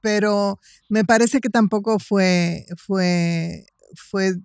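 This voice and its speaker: A woman in her sixties with a Dominican Spanish accent, chatting as a podcast guest in a halting, recollecting way. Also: mid-range voice, fairly even intonation, creaky, darkish tone, clean tone, dry recording, clean studio sound. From Dominican Republic. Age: 60s. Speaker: podcast guest, writer and cultural promoter. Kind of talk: casual conversational storytelling.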